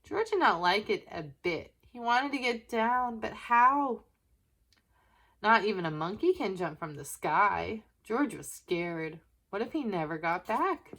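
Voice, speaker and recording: Slow-paced woman reading a children's storybook. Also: medium pitch. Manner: expressive, asking the listener questions. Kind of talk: read-aloud storybook narration with asides to young listeners.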